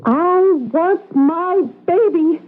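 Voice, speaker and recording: Very high-voiced, anxious young woman. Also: drawn-out words, full character voice. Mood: pleading, defiant. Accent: American. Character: frightened, lovesick expectant young woman, secretly a programmed operative. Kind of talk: old-time radio drama acting. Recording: tinny, narrow-band radio drama recording.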